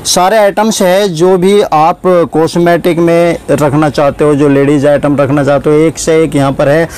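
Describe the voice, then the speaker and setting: A man's voice: on the high side, steady tone, a little slow, darkish tone, clean tone. Upbeat vlogger man touring a shop. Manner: agreeable, keeps saying yes, addresses viewers. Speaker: vlogger host. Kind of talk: shop tour vlog. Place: wholesale shop storeroom, indoors.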